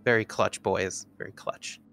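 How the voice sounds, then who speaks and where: A man's voice: fairly low pitch, fairly even intonation, unhurried, sharp tone, soft background music. A man chatting casually and jokily as a tabletop game player. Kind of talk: casual chat.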